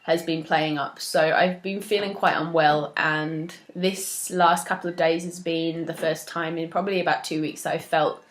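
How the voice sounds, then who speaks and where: A young woman talking on her own about her knitting and her group, her voice sitting a touch low. She sounds a little stuffed up from a cold and chats along loosely, full of ums and small laughs. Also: steady tone, moderate pace, few pauses. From moved to Australia from elsewhere. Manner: chatty, warm-hearted, many ums and soft laughs. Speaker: knitting podcast host. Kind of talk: casual solo chat to camera.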